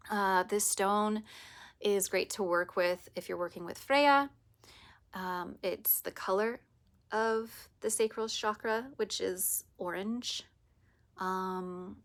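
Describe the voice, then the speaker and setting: A woman with a raspy, hoarse voice after a long day, talking softly and meandering into whispers as she runs a casual spiritual vlog. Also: medium pitch. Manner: soft, meandering, often drops to a whisper. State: hoarse from teaching all day. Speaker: reiki practitioner.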